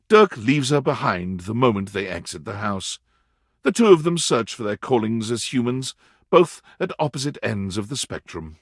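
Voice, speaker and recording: The narrator of a dating video game, telling the story straight to the player. His medium-pitched voice moves up and down expressively, with measured storytelling phrasing. The sound is dry, with no room around it. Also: breathy edge, no echo. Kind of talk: scripted second-person game narration.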